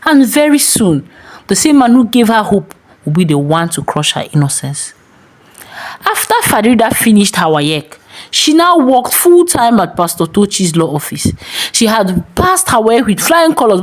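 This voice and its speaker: A Nigerian woman tells a scripted story in a dramatic style. Her pitch is very lively, and she leaves deliberate pauses for weight.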